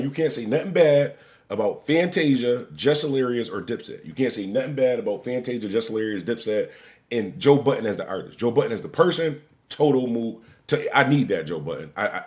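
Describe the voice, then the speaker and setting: Animated man in his forties, rambling. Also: medium pitch. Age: 40s. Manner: rambling, joking, repeats himself; "bro" and "gang" at line ends. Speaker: stream host. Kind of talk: casual live-stream commentary.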